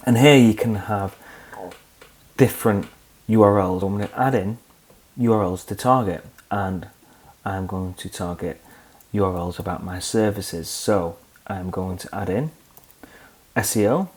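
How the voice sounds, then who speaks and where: A young man hosting a screen-recorded software tutorial, calmly walking through each click step by step. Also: lowish voice, unhurried, long pauses. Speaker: tutorial host.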